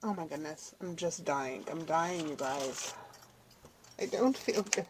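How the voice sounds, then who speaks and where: A woman's voice: sits low, measured pace, noisy background. Congested, sniffly woman crafting. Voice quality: raspy. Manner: chatty, apologetic, talks to viewers while working. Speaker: craft channel host. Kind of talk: casual craft tutorial chat.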